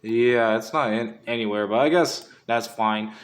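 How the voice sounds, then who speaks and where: A man's voice: medium pitch, a little slow. Male video host, casual off-the-cuff commentary, mid-range voice, rambling and self-correcting delivery.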